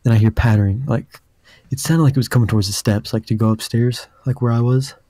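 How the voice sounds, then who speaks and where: A man's voice: steady tone, quick pace, mellow. Young man telling a rambling story. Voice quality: deep voice. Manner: rambling, many "like" fillers. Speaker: podcast host. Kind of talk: casual storytelling.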